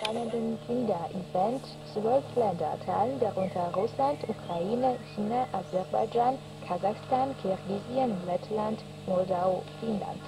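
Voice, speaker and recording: A woman's voice: medium pitch, unhurried, creaky, hardly any pauses. A woman reading scripted news on shortwave radio. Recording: noisy distant shortwave radio reception.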